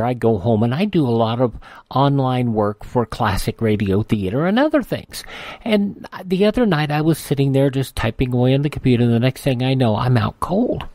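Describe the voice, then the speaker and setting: A man's voice: medium pitch, a little mellow, no echo. A man hosting a classic radio program, reading ads and station notes in a folksy, chatty way. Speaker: radio host. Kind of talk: casual host patter and live-read ads.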